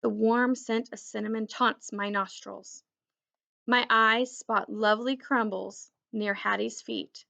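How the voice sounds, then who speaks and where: A woman reading a children's story aloud in an expressive, deliberate storytelling manner. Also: on the high side, creaky. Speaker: children's book reader.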